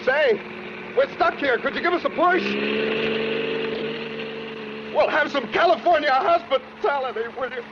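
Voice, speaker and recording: A man's voice: very high voice, moderate pace. An American man playing a commanding, sardonic armed killer in a 1940s radio suspense drama. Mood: feigned civility. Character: menacing gun-toting male killer posing as a doctor. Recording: noisy muffled 1940s radio recording.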